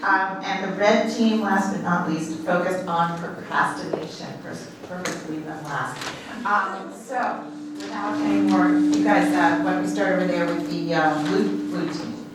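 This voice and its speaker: A woman's voice: fairly low pitch, unhurried. Female moderator, casual podium delivery, distant mic in a very reverberant room with noise. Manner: relaxed, with fillers like um and uh. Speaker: workshop moderator. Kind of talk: presenting at a podium. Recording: very echoey hall, distant, noisy.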